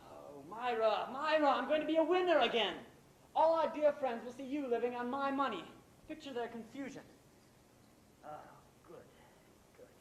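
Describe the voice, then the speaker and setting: A man's voice: big pitch swings, moderate pace, full character voice. Man playing a sardonic scheming playwright, echoey stage. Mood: gleeful, scheming. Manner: theatrical, sardonic. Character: smug, scheming middle-aged playwright husband, coolly ruthless. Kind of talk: live stage acting. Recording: echoey amateur stage recording, background rumble.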